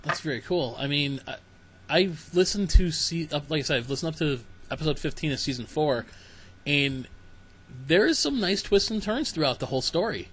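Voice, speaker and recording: Male host, casual chatter, pitched a bit high, easygoing questions. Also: animated, moderate pace, fairly bright tone, a little hiss or hum. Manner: casual, chatty, loose phrasing. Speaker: podcast host. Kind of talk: casual podcast interview.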